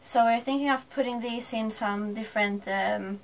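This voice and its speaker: A woman in her thirties from Sweden with a Swedish accent, chatting casually in a vlog and explaining things slowly with many fillers. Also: fairly high pitch, a little hiss or hum. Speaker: sailing vlogger. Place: shops, dock and wooden boat cabin.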